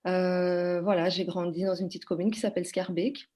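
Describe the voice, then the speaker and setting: A woman's voice: on the low side, little pitch movement, moderate pace, dark tone. A woman from Belgium, a podcast guest, talking in a hesitant conversational way with many fillers and restarts. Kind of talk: conversational interview.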